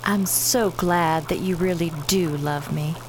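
A woman's voice: lowish voice, a little slow, noisy background, close to an everyday voice. A woman playing a romantic, adoring young sweetheart in a radio play, gushing and sentimental. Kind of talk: amateur radio theater acting.

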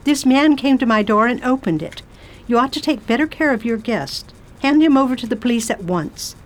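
A woman's voice: fairly high pitch, expressive, moderate pace, close to an everyday voice, low-quality recording. A woman playing an imperious, curious English lady traveller in an audio drama, speaking in a formal, old-fashioned way. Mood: indignant. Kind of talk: audio drama acting and diary narration.

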